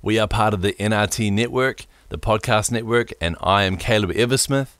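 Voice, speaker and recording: A young man with a very low voice and an accent that blends New Zealand and American speech. He hosts a podcast, relaxed and quick to laugh, and often restarts his sentences. The sound is dry and close, with no room around it. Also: dry recording. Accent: mixed New Zealand and American. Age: young adult. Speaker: podcast host. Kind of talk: casual podcast chat.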